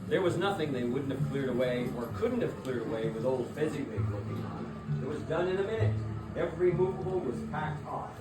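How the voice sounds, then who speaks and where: A man's voice: medium pitch, animated, a little fast. Male story narrator in echoing hall. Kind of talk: scripted dramatic story narration. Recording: very echoey hall, distant mic, music behind.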